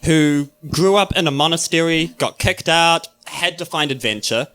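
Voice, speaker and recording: Bright, forceful, higher-pitched man. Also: moderate pace. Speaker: player introducing his character. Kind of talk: brisk casual stage introduction. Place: live on stage at a club.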